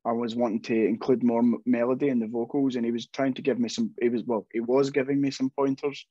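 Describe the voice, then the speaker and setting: A man's voice: medium pitch, level tone, quick pace, warm tone, breathy edge, no echo. A young man, a metal vocalist, chatting casually in an interview over a video call, rambling with many restarts and self-deprecating asides. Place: home room, indoors. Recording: dull, dry video call audio.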